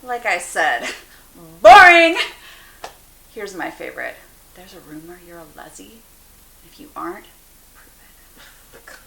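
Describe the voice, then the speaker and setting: A woman's voice: on the low side, wildly swooping pitch, slow, gravelly, long pauses, sharp tone, full character voice. A woman acting a candid, wry teenage girl in an amateur dramatic dialogue reading. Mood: indignant. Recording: roomy echo, hiss in background.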